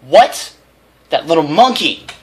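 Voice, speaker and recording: A man's voice: fairly high pitch, animated, takes it slowly, husky, pitched above the performer's own voice, exaggerated voice. A man voicing an indignant mother pony who scolds sharply in a storybook reading. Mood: exasperated.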